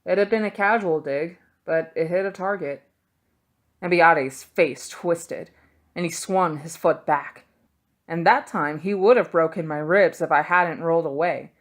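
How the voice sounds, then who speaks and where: A woman reading a novel aloud as scripted audiobook narration. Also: fairly low pitch. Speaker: audiobook reader.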